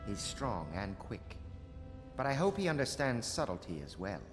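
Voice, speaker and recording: A man's voice: mid-range voice, sing-song, normal speed, close to an everyday voice. An actor playing a refined, dry-witted nobleman among conspirators in a video game. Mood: wry. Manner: genteel, dry, polite. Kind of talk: scripted video game dialogue. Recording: long hall reverb under music.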